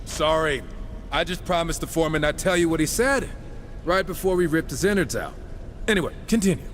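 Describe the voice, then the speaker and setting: A man's voice: on the high side, a little fast, a bit bright, slight background noise, natural voice. An actor playing a cocky, irreverent horseman in a video game, talking in sarcastic, breezy quips. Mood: mocking. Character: cocky, wisecracking male horseman, irreverent, impatient gunslinger type. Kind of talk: video game cutscene acting.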